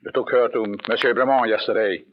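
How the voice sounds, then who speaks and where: A man's voice: mid-range voice, steady tone, a little fast, natural voice. Man acting an anguished jealous husband, old radio. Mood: angry, accusing. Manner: theatrical, intense. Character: jealous, anguished husband, a doctor, melodramatic and accusing. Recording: muffled narrow-band old radio, faint noise, clicks.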